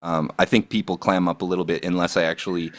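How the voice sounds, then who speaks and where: A young man with a low voice, loud and assertive, in a casual podcast chat. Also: flat delivery, quick pace. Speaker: podcast co-host.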